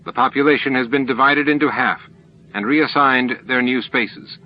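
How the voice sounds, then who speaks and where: A man's voice: medium pitch, normal speed, muffled recording, voice pushed up, natural voice. A man voicing a dutiful alien officer who reports to his master in a formal, deferential way.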